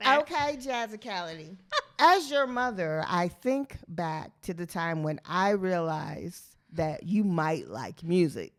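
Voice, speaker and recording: Lower-voiced mother asking questions. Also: very animated, takes it slowly. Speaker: guest, host's mother and manager. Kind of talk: casual interview chat.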